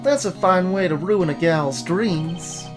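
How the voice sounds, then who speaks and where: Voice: mid-range voice, slow pace, music in the background, close to an everyday voice. A let's play host voicing a brash, folksy young woman with an American Southern drawl. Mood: resigned.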